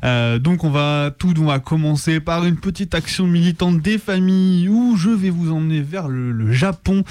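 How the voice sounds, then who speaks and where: High-pitched French man, informal radio talk. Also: normal speed, darkish tone, dry recording. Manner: slangy, informal. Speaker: radio show co-host. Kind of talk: informal radio commentary and presenting. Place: radio studio.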